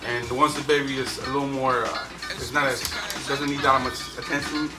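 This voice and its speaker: Fast-talking laid-back rapper guest. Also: male voice, mid-range voice, little pitch movement. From Guatemala. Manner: laid-back, slangy, laughs easily. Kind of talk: casual interview chat. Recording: distant mic with loud music behind.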